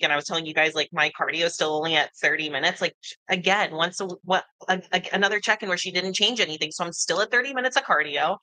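A woman's voice: lowish voice, pushed and bright, no room sound. A woman in her thirties co-hosting a casual podcast, upbeat and emphatic, recorded indoors on a tabletop mic. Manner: upbeat, emphatic, quick agreeing interjections. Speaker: podcast co-host, competitor and coach. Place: indoor living room.

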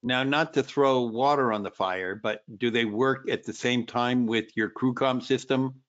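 Man, co-host, casual technical questions, mid pitch, dull lo-fi video call. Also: a little slow, fairly bright tone. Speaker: webinar co-host.

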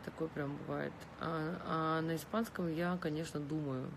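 A relaxed Russian woman in her thirties, lying in bed and chatting into a phone held close. Her voice has a slight gravelly edge, and a faint hum of background noise sits under it. She has a Russian accent and answers viewer comments casually. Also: low-pitched, normal speed. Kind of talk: casual live chat, switching between languages. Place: bedroom, lying against pillows indoors.

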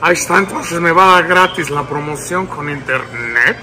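A man's voice: on the high side, a crowd in the background, close to an everyday voice. A man putting on a boozy, courteous old-time male crooner, drawling politely and sometimes crooning, in a prank phone call. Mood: cheeky, polite. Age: young adult. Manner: slow, drawling, polite, breaking into song. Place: large public lounge indoors. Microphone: camera at arm's length. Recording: music playing behind.

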